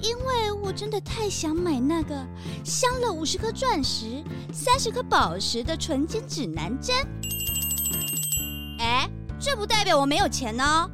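Lioness, very high pitch, vain, money-minded, defensive, children's drama, music behind. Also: female voice, sing-song, measured pace, exaggerated voice. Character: vain, money-minded female lion adventurer.

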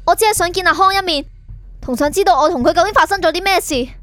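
A woman plays a bewildered but defiant young fugitive in a Hong Kong Cantonese radio drama. Her voice is very high and she talks quickly and insistently, with faint music behind her. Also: a bit bright. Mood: pleading, insistent. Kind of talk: radio drama acting and first-person narration.

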